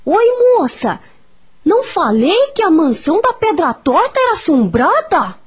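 A woman's voice: very high voice, very animated, a little slow, full character voice. A woman voicing a cheeky young boy in a Brazilian radio soap opera.

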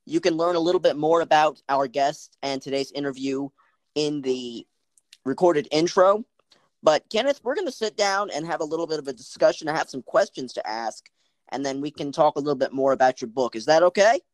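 A man's voice: fairly high pitch, animated, a little fast, no room sound. A man hosting a podcast, reading a formal introduction and then asking interview questions. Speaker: podcast host.

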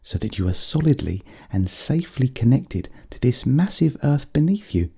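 A man's voice: mid-range voice, big pitch swings, moderate pace, creaky, warm tone, husky, voice pushed up, full character voice. A man voicing a small, friendly magical teddy bear in a children's story, speaking politely and soothingly.

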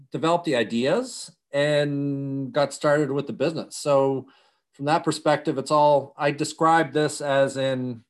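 A middle-aged man raised in Europe, a company founder talking energetically in long run-on explanations during an interview. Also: medium pitch. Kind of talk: conversational interview.

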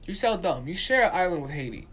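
A man's voice: high-pitched, sing-song, fast, a bit bright, slightly rough. A man talking casually and with opinion, speaking emphatically and repeating his points for stress. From Dominican community.

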